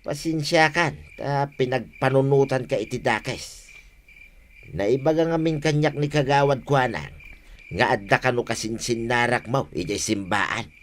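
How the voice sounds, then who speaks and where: An actor playing a jealous, plaintive village husband in a comedy radio drama. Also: male voice, mid-range voice, measured pace, a bit bright, slight background noise, close to an everyday voice. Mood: apologetic.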